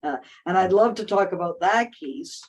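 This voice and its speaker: A woman's voice: mid-range voice, normal speed. A woman hosting a casual discussion, speaking thoughtfully with frequent restarts and fillers. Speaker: host.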